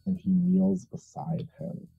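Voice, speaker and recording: Muffled, gravelly man, slowly narrating. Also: low voice, flat delivery, mellow, faint music behind. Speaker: player in a tabletop roleplaying game. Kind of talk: narrating his character's actions.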